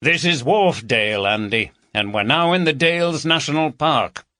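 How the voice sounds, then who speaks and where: A man's voice: on the high side, animated, normal speed, pushed and bright, dry recording, studio quality, voice pushed up. A man voicing a jovial, teasing middle-aged Yorkshire police chief with a broad, bantering manner. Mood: hearty. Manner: hearty, bantering, broad dialect. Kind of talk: audiobook character voice.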